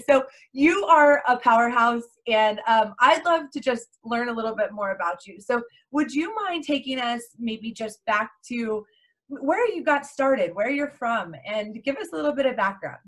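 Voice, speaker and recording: A woman's voice: on the high side, expressive, unhurried, fairly bright tone. A woman hosting an interview show, welcoming her guest in an enthusiastic, effusive manner. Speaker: podcast host.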